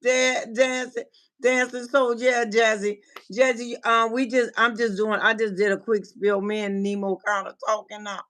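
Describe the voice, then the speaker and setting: Woman, livestream host, bright voice, chatty, repetitive restarts, casual chat, from Minnesota. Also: a little high, little pitch movement, normal speed. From Minnesota, USA. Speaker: livestream host and life coach.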